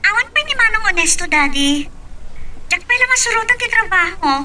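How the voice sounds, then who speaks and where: Very high-voiced young lovelorn Ilocano lady. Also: lively intonation, brisk, noisy background. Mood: pleading, sweet. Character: young lovelorn office woman, sweet daughter to Daddy. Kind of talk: Ilocano radio drama acting.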